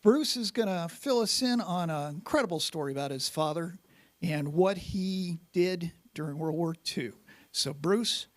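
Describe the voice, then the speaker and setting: A man's voice: on the high side, a little slow. A man hosting a museum talk series, upbeat as he announces events and introduces the guest speaker. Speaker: event host.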